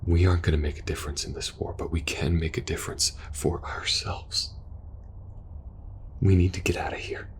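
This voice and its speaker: A man playing a war-weary, protective soldier in an intimate ASMR audio roleplay, speaking earnestly and hesitantly, sometimes hushed. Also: sits low, little pitch movement, normal speed, natural voice. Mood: frustrated, weary. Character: war-weary young male soldier pleading with best friend, protective, earnest.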